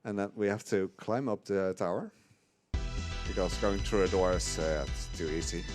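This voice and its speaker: A man's voice: sits low, moderate pace. A man giving live speedrun commentary on a video game, chuckling often as he explains. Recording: game music behind the voice. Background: video game music.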